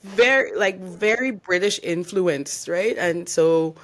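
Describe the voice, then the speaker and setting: A woman's voice: lowish voice, slow. A young adult woman from the Bahamas, co-hosting a podcast and candidly telling her own story and giving advice. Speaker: podcast co-host. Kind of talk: casual podcast chat.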